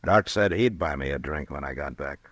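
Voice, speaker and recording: A frontier lawman in a radio western. His voice is very deep, and he talks plainly and calmly in few words, steady and kindly. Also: no room sound. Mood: easygoing. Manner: laconic, unhurried, plain-spoken. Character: calm, laconic frontier marshal, steady and kindly. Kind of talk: old-time radio western acting.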